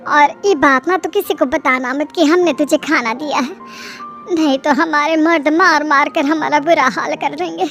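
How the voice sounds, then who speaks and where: Woman playing a soft, fearful older mother-in-law in a cartoon story, high voice, hushed, faint music. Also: steady tone, normal speed. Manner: hushed, anxious, scolding softly.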